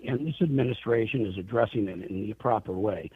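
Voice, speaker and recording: A man's voice: on the low side, a little fast, husky, dry recording. A man speaking as an interview guest on the radio, making his case emphatically with pointed rhetorical questions. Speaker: interview guest, airline trade association chief executive. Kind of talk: radio interview, advocacy answers. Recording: tinny narrow phone line.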